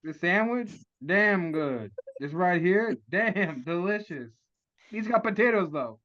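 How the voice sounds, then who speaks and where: A cool, cocky street charmer played by a man in a fairly high male voice. He is flirty, slangy and laid-back, in a comedy table read with slightly dull audio. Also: lively intonation, slow. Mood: cool, easygoing.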